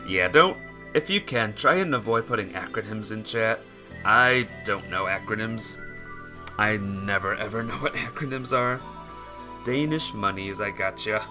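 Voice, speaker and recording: A man's voice: on the low side, a little slow, music in the background. A man streaming a visual novel, switching between casual chat with viewers and reading the story aloud. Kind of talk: casual livestream chat mixed with expressive read-aloud narration.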